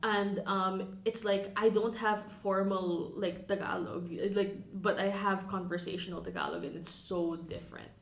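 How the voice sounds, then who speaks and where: A woman's voice: mid-range voice, flat delivery, measured pace, hardly any pauses. A woman with a mid-range voice talks casually on a podcast. She explains things hesitantly and often restarts her sentences. Her voice rings through a roomy, echoing space. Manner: hesitant, many fillers and restarts while explaining. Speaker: podcast co-host. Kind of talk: casual chat. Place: warehouse. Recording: echoey room.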